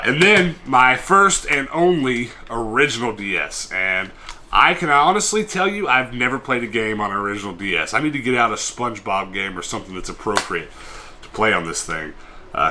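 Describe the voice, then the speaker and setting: Chatty man, bright tone. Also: mid-range voice, a little hiss or hum. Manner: rambling, self-deprecating, chatty. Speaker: collector vlogger. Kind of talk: casual show-and-tell vlog.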